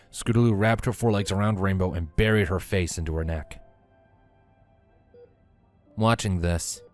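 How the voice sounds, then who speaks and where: A man reading a scripted story as its narrator. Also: deep voice. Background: music.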